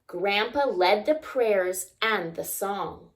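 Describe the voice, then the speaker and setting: Lady doing kids story time, super up and down melodic delivery, takes it slow, chats to the kids. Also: medium pitch. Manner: expressive, acts out sounds and asks the listeners questions. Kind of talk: animated children's picture-book read-aloud with asides to young listeners.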